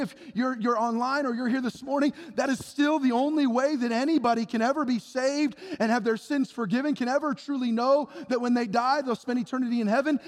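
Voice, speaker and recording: A man preaching a sermon with passion, acting out Bible figures in quick bits of dialogue. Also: extremely high pitch. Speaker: pastor. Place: church sanctuary. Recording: long hall reverb.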